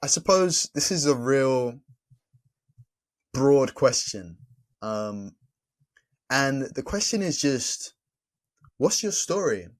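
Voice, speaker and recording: A man hosting a casual podcast interview, relaxed, laughing often and repeating words as he thinks. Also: mid-range voice, slow pace, pauses often. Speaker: podcast host.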